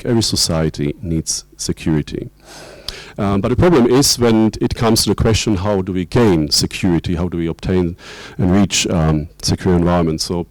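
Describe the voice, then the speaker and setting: A young man from Czechoslovakia, a lecturer in international security, giving a conference talk with many pauses and restarts. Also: low-pitched, darkish tone, dry recording, rough recording. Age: young adult. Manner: hesitant, many ums and restarts.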